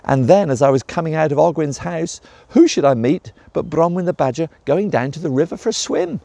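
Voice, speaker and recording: A man's voice: on the high side, lively intonation, a little fast, vocal fry, warm tone, dry recording, voice pushed up. A man voicing an enthusiastic young female dragon who gushes about her day. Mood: gushing.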